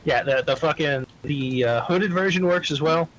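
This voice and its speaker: A man's voice: a little high, moderate pace, fairly bright tone, slight background noise, poor audio. A man chatting casually during an online roleplay game, swearing freely as he banters with other players. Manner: profane, bantering. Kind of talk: casual gaming voice chat.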